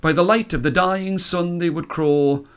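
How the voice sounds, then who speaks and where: A man reading a story aloud as a storyteller, with measured, dramatic phrasing. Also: high-pitched, clean tone. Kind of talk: expressive scripted storytelling.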